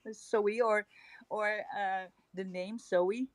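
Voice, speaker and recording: A woman's voice: mid-range voice, fairly even intonation, very slow. A woman with a Dutch accent co-hosting a crypto livestream, giving casual market commentary in an upbeat way, laughing often and using hype slang.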